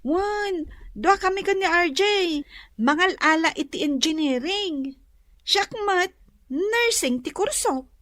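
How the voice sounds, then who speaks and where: A woman's voice: very high-pitched, animated, slow pace, fairly bright tone, no echo, clean studio sound, heavily acted. A woman with an Ilocano accent playing a polite young nursing student niece who cleans for work, in a radio drama. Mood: polite, pleading.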